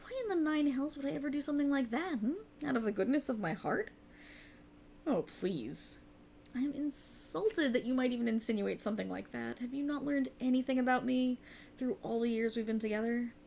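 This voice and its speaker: A woman's voice: sits high, brisk, creaky, a little mellow, a little hiss or hum. A woman voicing a sultry, cruel and mocking otherworldly warlock patron in a theatrical ASMR roleplay, teasing and condescending. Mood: scornful, condescending.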